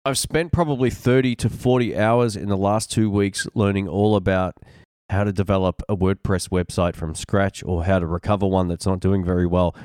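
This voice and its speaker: A man's voice: deep voice, steady tone, normal speed, a little mellow, smooth, dry recording, clean studio sound. Man with a stuffy cold, chatty. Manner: rambling, chatty, with fillers and restarts. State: nasal, blocked-up nose from a cold. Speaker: podcast host. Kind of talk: casual solo podcast talk.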